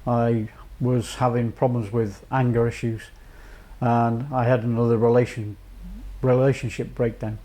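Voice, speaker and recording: Measured, reflective man. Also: medium pitch, level tone, dark tone. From North Wales. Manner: measured, reflective, with frequent pauses and fillers. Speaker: charity chief executive. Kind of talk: personal testimony to camera.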